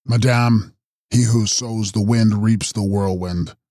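A man voicing a mysterious, ageless male count who makes grave, measured prophecies in an audiobook. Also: on the low side, fairly even intonation, mellow, dry recording, studio quality. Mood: foreboding. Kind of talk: audiobook character reading.

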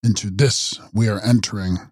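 A man voicing a mysterious, ageless male count who makes grave, measured prophecies in an audiobook. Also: on the low side, fairly even intonation, darkish tone, breathy edge, no room sound, studio quality. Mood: foreboding. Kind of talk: audiobook character reading.

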